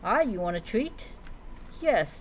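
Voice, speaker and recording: A woman streamer role-playing in character as a dragon rider in a game, speaking haltingly and repeating words. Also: medium pitch, big pitch swings, slight background noise, poor audio. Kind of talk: in-character game roleplay storytelling, talking to pet creatures.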